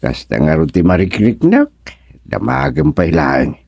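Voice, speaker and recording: A man's voice: deep voice, very animated, moderate pace, vocal fry, darkish tone, breathy edge, dry recording, clean studio sound, exaggerated voice. A man playing a grumbling, plain-spoken village man in a radio comedy-drama, with an Ilocano accent and an exclamatory delivery. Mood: resigned.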